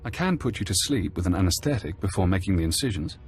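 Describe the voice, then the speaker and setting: A man playing a calm, precise intelligence handler in a scripted game cutscene, speaking in a measured, detached way. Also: deep voice, soft background music. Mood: measured, clinical. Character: calm, clinical male intelligence handler and tech expert.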